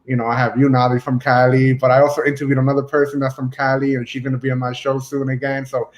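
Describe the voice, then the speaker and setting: A man's voice: medium pitch, little pitch movement, fast talker. Rambling casual male interviewer. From Dominican family, US. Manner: rambling, many fillers like "you know". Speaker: podcast host.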